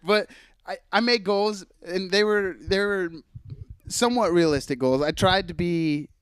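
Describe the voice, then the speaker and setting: This is a man in his mid-twenties with a clear, smooth voice, recorded dry and close. He talks like a podcast host in casual conversation. His delivery is wry and a little hesitant, as if working out each thought while he says it. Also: high-pitched, measured pace, fairly bright tone, dry recording.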